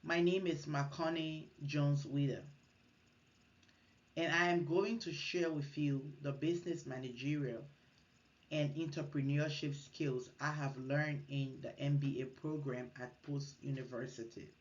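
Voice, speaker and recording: A woman's voice: deep voice, fairly even intonation, measured pace, lo-fi sound. A woman from Liberia, giving an earnest recorded class presentation, partly read and with small restarts. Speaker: student presenter.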